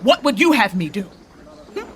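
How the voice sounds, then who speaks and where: A woman's voice: fairly high pitch, sing-song, a little slow, slight background noise. A woman playing a defiant, declamatory noble claimant in a Shakespearean radio drama. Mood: defiant, indignant. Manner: forceful, declamatory. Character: defiant noble claimant demanding a stolen inheritance.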